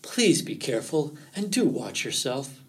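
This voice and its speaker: A man voicing a sly male fox in an animal folktale, feigning worry with theatrical, drawn-out pleas and warnings. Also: mid-range voice, sing-song. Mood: reluctant.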